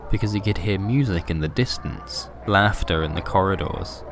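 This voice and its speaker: A man's voice: low voice, noisy background. A man reading a scripted, surreal horror story as its narrator.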